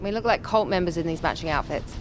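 An actress plays a demanding, wry woman in an audio thriller. Her voice is mid-pitched and teasing, set against clearly audible noisy ambience. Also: fast, close to an everyday voice. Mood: impatient. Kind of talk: audio drama acting.

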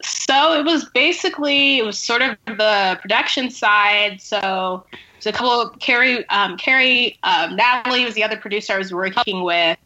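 A woman's voice: medium pitch, normal speed, pushed and bright, highs cut off. A woman speaking as a writer guest in a casual podcast interview, conversational and peppered with "like" and "sort of". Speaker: guest novelist and screenwriter.

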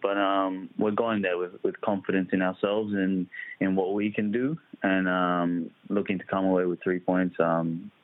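Young aussie guy, footballer, low voice, lots of ums, calling in on a phone. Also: no room sound. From Kenya, raised in Adelaide. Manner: hesitant, many ums, soft-spoken. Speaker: footballer guest. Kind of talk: casual interview answers. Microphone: phone call. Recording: tinny phone line.

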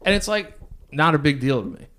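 A man's voice: on the high side, very animated, fairly quick, a bit bright. A man of thirty co-hosting a podcast, chatting casually in a blunt, crude, joking way. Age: 30s. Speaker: podcast co-host. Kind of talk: casual chat.

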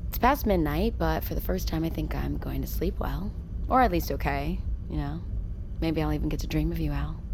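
A woman's voice: sits low, a little fast, gravelly, noisy background. Blunt, skeptical woman submarine pilot. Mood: tired, reflective. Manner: casual, dry, blunt. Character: guarded, skeptical, plain-spoken female submarine pilot, expecting a baby. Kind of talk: naturalistic audio drama acting.

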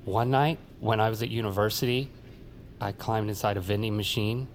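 Actor as awkward, pedantic, low-voiced male co-worker. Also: steady tone, moderate pace, a little hiss or hum, natural voice. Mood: earnest, awkward. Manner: hesitant, earnest, pedantic. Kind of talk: audio drama dialogue.

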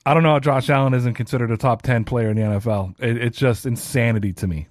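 A man's voice: mid-range voice, steady tone, fast talker, no room sound, studio quality. A man in his thirties hosting a solo sports podcast, talking casually and ranting with a self-deprecating streak. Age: 30s. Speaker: podcast host.

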